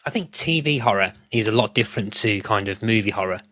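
A man with a fairly low but bright, forward voice talks casually as a podcast guest. He feels his way haltingly through sentences, and the sound is dry and close. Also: lively intonation, no room sound. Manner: halting, repeats words while thinking. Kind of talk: casual chat.

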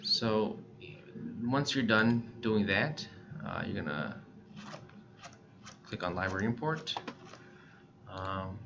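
An American man giving a casual, plain-spoken step-by-step tutorial as he presents software on screen. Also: fairly low pitch, animated, slow, long pauses, background noise. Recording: dull lo-fi voice-over recording.

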